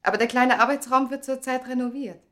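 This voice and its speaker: A woman's voice: high-pitched, steady tone, fairly quick, fairly bright tone. A woman acting a polite, helpful Frenchwoman who works as a museum attendant in a radio play. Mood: courteous. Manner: courteous, obliging. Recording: echoey room, old radio play.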